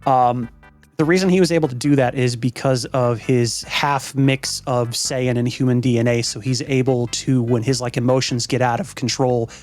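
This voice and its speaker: A man with a medium-pitched voice hosts a reaction show in a loose, rambling style. He talks informally and swears freely. Faint music plays underneath. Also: darkish tone. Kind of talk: casual show intro and chat.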